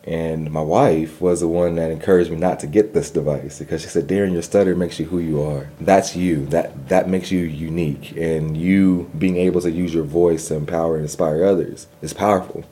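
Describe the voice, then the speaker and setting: A man's voice: sits low, fairly even intonation, normal speed, hardly any pauses, dark tone. A young man telling his personal story in an interview, earnest, with a stutter and repeated words. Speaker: guest.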